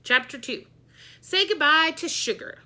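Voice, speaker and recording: A woman's voice: sits high, lively intonation, a little slow, pushed and bright. A woman reading a children's book aloud in the role of a teacher.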